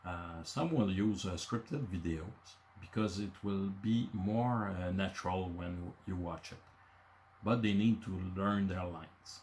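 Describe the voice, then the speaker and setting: A man's voice: low-pitched, a little hiss or hum. A man in his fifties from Quebec City, a native French speaker with a Quebecois accent, hosting his channel and talking casually from bullet points with many filler uhs. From Quebec City, Canada. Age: 50s. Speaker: channel host.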